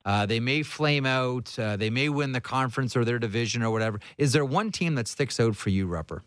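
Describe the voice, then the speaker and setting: A man's voice: medium pitch, a little fast, a bit bright, no room sound, clean studio sound. A man hosting a sports talk show, chatting and asking questions in a conversational, opinionated way. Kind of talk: casual hockey talk and interviewing.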